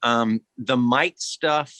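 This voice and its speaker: A man with a bright, forward voice talks as a guest actor on a podcast. His delivery is earnest, expressive and reflective, with a middle pitch and a very dry sound. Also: extremely slow, no echo.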